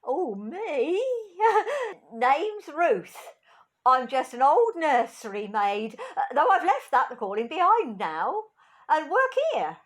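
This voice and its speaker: A woman's voice: high voice, big pitch swings, slow, full character voice. Woman playing a jolly, swooping old nursery maid. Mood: chatty curious. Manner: theatrical, jokey, chuckling, rustic turns of phrase. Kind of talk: comic pantomime dialogue. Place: at home, over a video call. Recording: home video-call recording.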